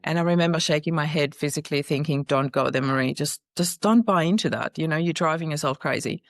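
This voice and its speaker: Gravelly woman, dry sound. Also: low voice, fast talker, no room sound. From Austria. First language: German. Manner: earnest, recounting in vivid detail. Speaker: guest. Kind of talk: personal storytelling in an interview.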